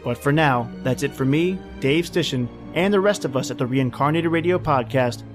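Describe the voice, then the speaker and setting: A man presents a scripted podcast outro as the host. His voice sits at an average male pitch. Music plays behind him as he addresses listeners. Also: moderate pace.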